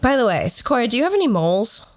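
A woman's voice: on the high side, big pitch swings, quick pace. A woman hosting a podcast, telling stories in a casual, conversational way with a sardonic edge and some swearing. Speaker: podcast host.

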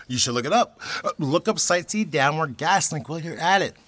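A man's voice: a little high, expressive, fairly quick, a bit bright. A man playing a sarcastic young farmer. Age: young adult. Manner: sarcastic, biting retorts.

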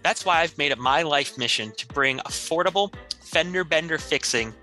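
A man with a very bright, forceful voice on the high side performs improvised spoof radio commercials. He talks like an announcer pitching a product, and music plays clearly behind him. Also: lively intonation, moderate pace.

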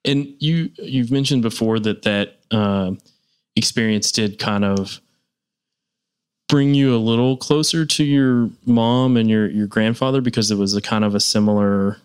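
A man hosting a relaxed interview, encouraging his guest and often murmuring agreement. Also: lowish voice, measured pace, clean tone. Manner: encouraging, frequent back-channel agreement. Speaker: podcast host. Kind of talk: casual interview conversation.

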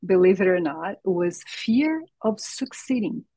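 A woman's voice: medium pitch, lively intonation, unhurried, dark tone, slightly rough, dry recording. A woman with a Brazilian Portuguese accent, a native Portuguese speaker, talking earnestly about her path as a health coach in a casual interview. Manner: earnest, reflective, laughs often. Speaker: guest, holistic health coach.

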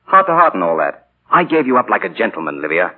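A man's voice: on the low side, sing-song, quick pace, breathy edge. An actor playing a smug, insinuating young man with mocking, falsely courteous manners in 1940s radio drama. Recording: muffled, scratchy 1940 radio broadcast.

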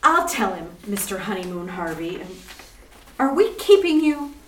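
A woman's voice: mid-range voice, very animated, unhurried, fairly bright tone, far from the mic. A woman playing a poised, affectionate sleuth's wife in a retro radio comedy, coaxing and playful. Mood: arch. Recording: echoey room, off-mic, background noise.

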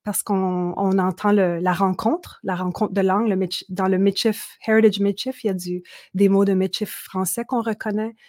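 A woman's voice: medium pitch, steady tone, normal speed, a little mellow, no echo. Woman, musician guest, reflective, dry close mic. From Manitoba, Canada. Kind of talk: interview conversation.

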